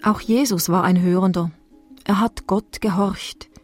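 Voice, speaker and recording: A woman from Basel with a Swiss German accent, calmly reading a meditative sermon. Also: medium pitch, dry recording, soft background music. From Switzerland, Basel. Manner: calm, measured, reflective reading. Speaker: preacher giving a spoken devotion.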